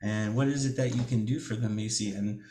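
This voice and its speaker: A man co-hosting a teachers' podcast, casual and chatty with quick affirmations. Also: on the low side, steady tone, mellow, slight background noise. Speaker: podcast co-host, ESL teacher. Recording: roomy echo.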